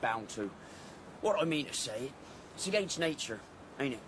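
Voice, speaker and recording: A man's voice: mid-range voice, animated, moderate pace, noisy background, lightly acted. A man playing a sly, cautious farmer with a rural English accent, confiding in a radio drama. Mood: agreeing. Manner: rustic, confiding.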